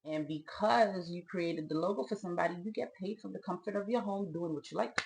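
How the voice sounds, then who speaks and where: A woman's voice: lowish voice, fairly quick, vocal fry, noisy background. A woman with a Haitian Brooklyn accent, co-hosting a casual chat and explaining business ideas with enthusiasm. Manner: enthusiastic, animated, talks with her hands in her words. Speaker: co-host of a business channel.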